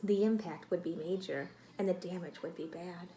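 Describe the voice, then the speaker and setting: A woman reading a picture book aloud to children like a teacher, expressive and dramatic, with exclamations and prompting questions. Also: fairly low pitch, moderate pace, low-quality recording. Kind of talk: read-aloud storytelling with questions to young listeners.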